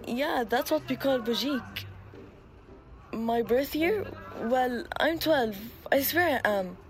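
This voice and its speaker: A woman's voice: high-pitched, animated, poor audio. A woman voicing an eager, cheeky ten-year-old boy who dreams of football, talking in a scheming, playful way. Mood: fibbing. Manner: cheeky, fast-scheming, plays commentator.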